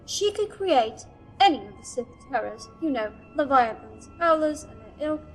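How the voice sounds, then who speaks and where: A woman's voice: high-pitched, animated, moderate pace, faint music behind, poor audio. A woman playing a nervous, eager, scholarly archive curator in a sci-fi audio drama, stammering and deferential. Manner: nervous, stammering, deferential, bossy to her assistant. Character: flustered, eager scholarly female archive curator serving a Sith. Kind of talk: fan audio drama acting.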